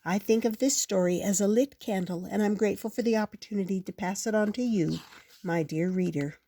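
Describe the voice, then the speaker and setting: An older woman reads a children's picture book aloud in a slow, gentle, expressive way. Her voice is mellow and soft-edged, and the recording is close and dry with no room sound. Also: mid-range voice, no room sound. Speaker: storyteller.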